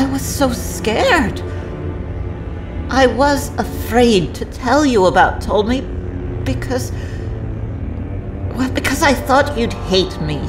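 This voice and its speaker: A woman playing a guarded, remorseful former assassin in an audio drama, with halting, intense delivery. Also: a little high.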